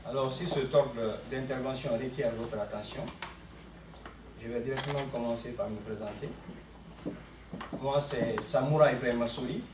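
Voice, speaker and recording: A man from Guinea moderates a formal round of introductions in a measured, polite way. His voice sits in a middle register. It comes from across an echoey room with steady background noise. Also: medium pitch. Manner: measured, polite, leads the turn-taking. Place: meeting room. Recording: echoey room, distant mic, noisy.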